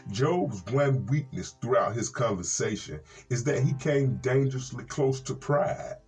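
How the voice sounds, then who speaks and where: American reverend reading scripture over guitar music. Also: male voice, medium pitch, expressive, unhurried, darkish tone. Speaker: reverend hosting a Bible reading program. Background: plucked guitar music.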